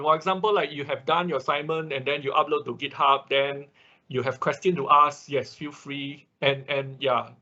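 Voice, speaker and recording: A man's voice: mid-range voice, bright, forceful tone, lo-fi sound. A man working as an instructor in an online class, answering questions in a hesitant way, often checking with short confirmations. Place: online class over a computer call.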